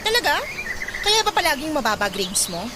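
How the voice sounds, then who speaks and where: An actress playing a stern, nagging older sister in a radio drama, scornful and accusing. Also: female voice, high voice, big pitch swings, moderate pace, noisy background. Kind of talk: Tagalog radio drama acting.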